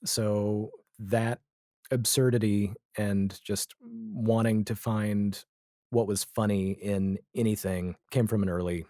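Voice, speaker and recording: A man's voice: on the low side, steady tone, slow, warm tone, no room sound, clean studio sound. A man in his forties talking casually and reflectively as an interview guest, with a wry way of telling things. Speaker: interview guest, comedy and audio drama writer.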